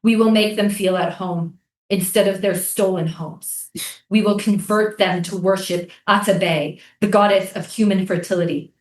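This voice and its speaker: A woman plays a defiant native islander mourning stolen land in a theatrical reading. The delivery is pleading and incantatory, in an echoey room at a middle pitch. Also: fairly even intonation, moderate pace, close to an everyday voice. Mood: solemn.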